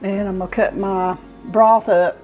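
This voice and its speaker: A woman's voice: medium pitch, steady tone, a little slow, over music. Southern US woman in her sixties, cooking host. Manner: folksy, explaining step by step while working. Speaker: home cooking show host. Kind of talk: informal cooking demonstration. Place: home kitchen, indoors. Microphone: clip-on lapel mic.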